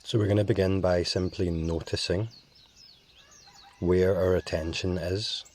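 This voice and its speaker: A man guiding a meditation in a calm, measured way with long pauses. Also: sits low. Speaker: meditation guide. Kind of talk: slow guided meditation.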